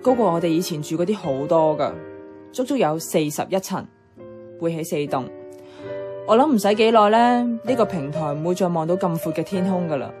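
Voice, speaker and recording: A woman's voice: fairly low pitch, lively intonation, brisk, music in the background, lightly acted. An actress playing a nostalgic, adventurous young woman in a radio drama, chatting with a Hong Kong Cantonese accent. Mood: wistful. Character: nostalgic, playful, adventurous young woman revisiting her childhood neighbourhood.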